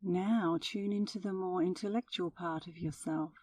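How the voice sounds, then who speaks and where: Female meditation guide: lowish, warm and relaxed voice, unhurried and soothing, gentle instructional narration. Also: no room sound, distant mic.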